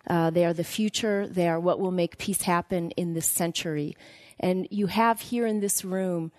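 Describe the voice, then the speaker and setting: Deliberate woman introducing guests, close dry sound. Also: fairly low pitch, steady tone, darkish tone, no room sound. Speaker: host introducing youth delegates. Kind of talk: formal spoken introduction.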